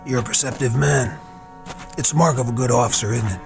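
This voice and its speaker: An actor with an American accent playing a weary, troubled army officer, terse and brooding, in a radio war drama. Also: male voice, mid-range voice, a little fast, vocal fry, faint music behind, natural voice. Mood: grim, bitter.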